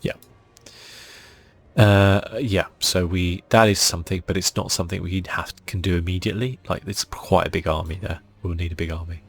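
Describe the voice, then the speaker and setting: A man giving soft-spoken, musing ASMR gameplay commentary in a husky, breathy voice that sometimes nears a whisper. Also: sits low, fairly even intonation, moderate pace. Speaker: gaming video host.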